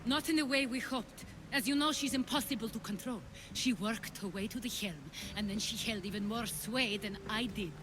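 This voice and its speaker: An actress playing a poised, ambitious female former cult leader in a video game drama, speaking in a measured, persuasive way. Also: fairly high pitch, noisy background, natural voice. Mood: calm, confessional.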